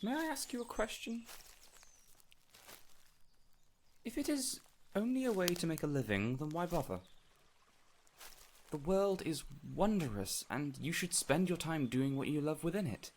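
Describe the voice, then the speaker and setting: A man playing a peculiar, eager fey man in an audio roleplay, courteous and theatrical, with flowery, excitable phrasing. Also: on the high side, unhurried, long pauses, slight background noise. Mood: wistful, gentle. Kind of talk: immersive audio roleplay addressed to the listener.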